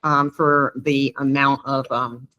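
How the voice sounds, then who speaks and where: Departing board member, female, bright voice, halting and filler-heavy. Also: very deep voice, flat delivery, muffled recording. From New York. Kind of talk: casual meeting remarks.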